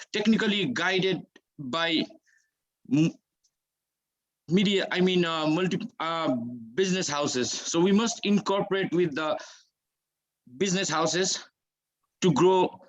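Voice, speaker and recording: A man with a Nepali accent and a fairly high voice answers as a workshop panelist. English is not his first language, so his speech is halting, with pauses and restarts while he searches for words. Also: dull audio.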